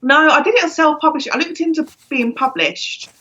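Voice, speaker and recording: A woman's voice: sits high, fairly quick, bright, forceful tone, lo-fi sound. A woman from London with a London accent, a guest author chatting casually over a video call, laughing often. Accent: London English. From London, England.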